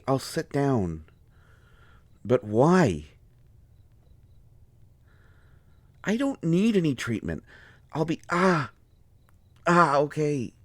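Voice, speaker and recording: A man acts out an injured, bookish man in an audio drama, fussy in his wording and a touch cranky, yet gentle underneath. His medium-pitched voice moves slowly and haltingly, strained with pain. The sound is dry, with hardly any room to it. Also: no room sound. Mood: protesting, irritated. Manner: halting, pained, fussy wordy phrasing. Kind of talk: audio roleplay acting.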